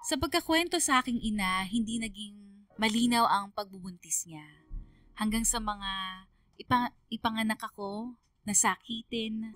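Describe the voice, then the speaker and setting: A woman's voice: medium pitch, no room sound. A woman giving scripted, dramatic narration of a story for radio. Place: dry studio. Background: soft music.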